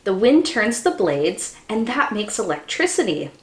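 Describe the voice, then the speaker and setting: A woman's voice: mid-range voice, big pitch swings, measured pace, roomy sound. A woman voicing a curious, cheerful little girl piglet in a children's storybook, exclaiming politely like a child.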